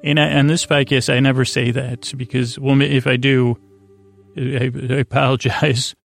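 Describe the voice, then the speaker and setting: A man with a creaky, gravelly voice, hosting a bedtime podcast in a rambling, halting monologue that wanders off into tangents and stumbles over words. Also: medium pitch, level tone, soft background music. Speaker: podcast host. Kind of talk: rambling bedtime monologue to lull listeners to sleep.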